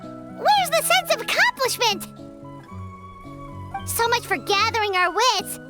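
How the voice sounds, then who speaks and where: A woman playing a bubbly, earnest and excitable young heroine in a video game, speaking in animated exclamations. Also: very high voice, takes it slowly, over loud music, exaggerated voice. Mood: flustered.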